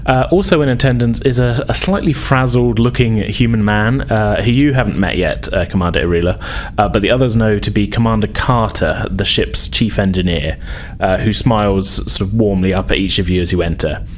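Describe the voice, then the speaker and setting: A man runs a tabletop role-playing game as game master. His voice sits fairly low and his delivery is halting. A light layer of noise runs under the recording. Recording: noisy home recording.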